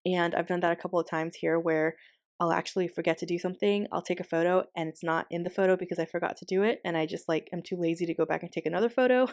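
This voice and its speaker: Hoarse young woman chatting in a dry bedroom. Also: fairly low pitch, darkish tone, no room sound. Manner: chatty, rambling, with many ums and likes. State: hoarse, losing her voice. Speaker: planner channel host. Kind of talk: casual voiceover vlog.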